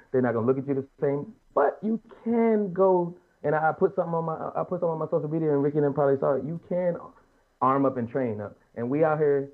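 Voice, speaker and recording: Fast-talking man in his late thirties, muffled audio. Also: mid-range voice. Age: late 30s. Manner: earnest, emphatic, colloquial, repeats and rephrases as he argues. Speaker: podcast panelist. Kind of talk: casual opinionated panel talk.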